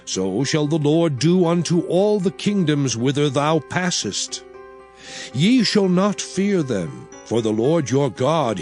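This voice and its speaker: Slow, solemn commanding biblical prophet. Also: male voice, a little high. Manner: solemn, oratorical, measured, emphatic. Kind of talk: dramatized scripture reading. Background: music.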